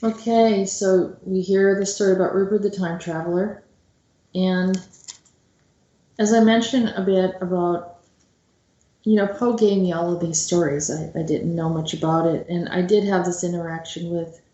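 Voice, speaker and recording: A woman hosts with informal, rambling commentary in a dark, mellow voice. Her intonation stays quite even as she hesitates between thoughts. The recording has a noticeable room echo. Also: on the low side, normal speed, muffled recording. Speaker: show host and author. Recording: roomy, echoing sound.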